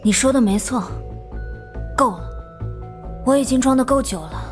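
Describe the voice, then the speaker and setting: A woman playing a resigned young female student confessing in an animated drama. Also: high voice, moderate pace, soft background music. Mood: resigned, bitter.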